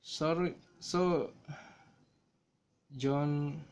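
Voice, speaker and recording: A man from Indonesia with an Indonesian accent, talking through a software tutorial in a slow, hesitant way with many fillers, recorded on a smartphone. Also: on the high side, steady tone, smooth. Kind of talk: screen-recorded coding tutorial. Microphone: smartphone camera filming screen.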